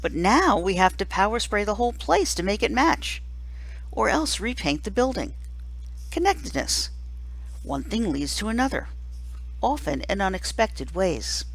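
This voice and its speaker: Woman reading aloud, very lively pitch. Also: unhurried, breathy edge, poor audio. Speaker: reader, teacher. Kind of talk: scripted audiobook narration of a children's novel, first person.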